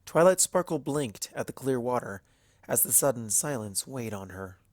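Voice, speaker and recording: Male fiction narrator, mid-pitched, solemn and measured, dry recording. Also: expressive, a little mellow, no room sound. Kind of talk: scripted dramatic fiction narration.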